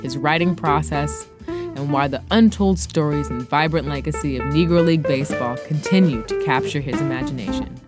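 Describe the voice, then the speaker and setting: A woman's voice: low-pitched, brisk, music in the background. A woman hosting a podcast, moving between scripted narration and interview talk. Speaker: podcast host.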